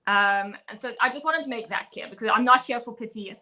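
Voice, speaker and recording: A young woman from Zimbabwe telling her own story in an interview, earnest and firm. Her voice sits a little high and sounds bright and forward. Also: a little fast. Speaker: fitness coach and guest.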